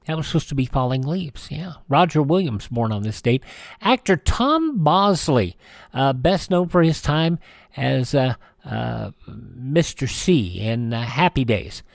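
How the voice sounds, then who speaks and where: An older American man talks as a radio host in a fairly high voice. He reads items casually and wanders into folksy, hesitant asides. The sound is dry, with very little room in it. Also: no room sound. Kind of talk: casual radio commentary reading dates and birthdays.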